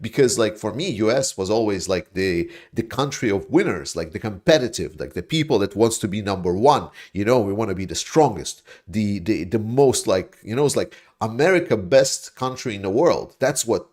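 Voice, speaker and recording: A man from Latvia, hosting a casual livestream and reading viewer chat, rambling and animated, with frequent swearing. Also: on the low side, moderate pace, few pauses. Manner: rambling, animated, swears, mocks opponents in put-on voices.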